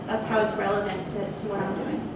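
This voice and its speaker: Flat-toned woman speaker in echoey hall. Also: medium pitch. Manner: measured, thoughtful, many fillers. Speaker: guest speaker, sales executive. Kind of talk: onstage fireside chat. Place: large open office event space with audience. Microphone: handheld mic heard from a distant camera. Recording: echoey room from far off with noise.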